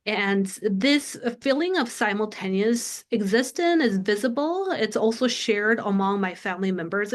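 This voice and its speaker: Woman in her thirties, native Korean speaker from South Korea, presents research earnestly over a video call, lots of "um"s. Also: mid-range voice. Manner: earnest, frequent "um" fillers. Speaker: guest lecturer.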